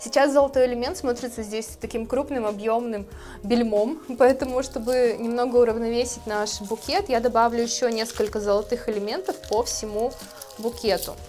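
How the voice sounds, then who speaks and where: A woman in her thirties, a florist, calmly explaining her steps in a tutorial, speaking gently to her viewers. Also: a little high, fairly quick, faint music behind. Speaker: florist teaching a course. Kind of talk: calm tutorial narration. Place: indoor studio with plants. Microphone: lapel mic.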